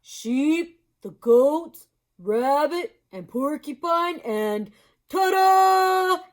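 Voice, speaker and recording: A woman voicing a jolly, polite male moose who coaxes sweetly and draws out his words slowly. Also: sits high, lively intonation, voice pushed up, heavily acted. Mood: cheerful. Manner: sweetly coaxing, drawn-out delivery.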